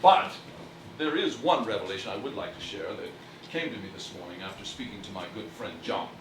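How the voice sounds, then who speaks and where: A man's voice: on the low side, lively intonation, background noise, lightly acted. Man acting a proud, wordy toy merchant on stage. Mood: sheepish, halting. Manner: formal, wordy, halting. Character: gruff, proud toy merchant turning sheepishly soft-hearted. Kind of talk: theatrical stage musical acting. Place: theatre stage, distant microphone. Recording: echoey stage recording from far off, music behind.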